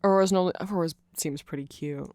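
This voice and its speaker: A performer voicing a nerdy, awkward, eager vampire friend in a rambling, hesitant ASMR roleplay, halting and self-conscious. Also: medium pitch, darkish tone, no echo, lightly acted. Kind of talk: rambling ASMR roleplay, explaining fan lore to a listener.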